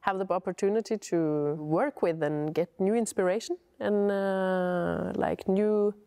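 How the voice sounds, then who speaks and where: A young woman from Norway, a circus artist, speaking calmly in an interview. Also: mid-range voice, unhurried, clean tone. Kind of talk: casual interview.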